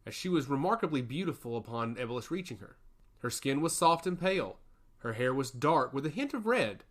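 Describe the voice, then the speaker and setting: A man's voice: mid-range voice, fairly bright tone. A man narrating a scripted fantasy story as a storyteller.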